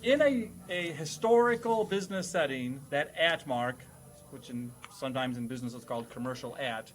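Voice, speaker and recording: A man's voice: medium pitch, moderate pace, slight background noise, rough recording. A man hosting a casual comedic quiz as a teacher, explaining things in a nerdy, wordy, playful way. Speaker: quizmaster host playing a teacher.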